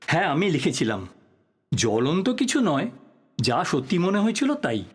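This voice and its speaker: An actor playing an earnest, persistent journalist in an audio drama, speaking politely and probingly in a husky voice. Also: male voice, a little high, very animated, normal speed. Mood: polite, reflective. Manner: polite, probing, musing to himself. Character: earnest, persistent male journalist probing his old teacher's death. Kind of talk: Bengali audio drama acting.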